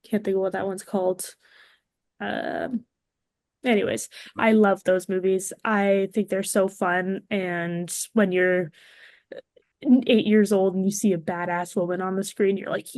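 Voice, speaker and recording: A woman's voice: medium pitch, a little mellow, no room sound. A woman chatting casually as a guest on a podcast. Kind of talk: casual chat.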